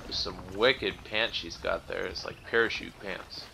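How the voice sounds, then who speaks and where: A man's voice: low voice, fairly even intonation, measured pace, pushed and bright, low-quality recording. A man doing laid-back, chatty commentary as he hosts a video game playthrough. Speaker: let's play host. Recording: clear game noise behind voice.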